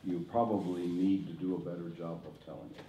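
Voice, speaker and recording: A man in his seventies from the United States, giving advice on a panel deliberately, with long pauses. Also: low voice, little pitch movement, mellow. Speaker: expert panelist. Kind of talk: panel discussion, spontaneous advice. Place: large indoor auditorium. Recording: very echoey conference hall.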